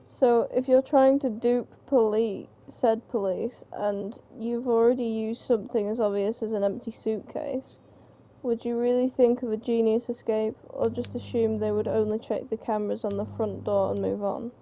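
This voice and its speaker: A woman's voice: fairly high pitch, fairly even intonation, normal speed. A woman reading a story aloud in a casual table read. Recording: dull, noisy home recording.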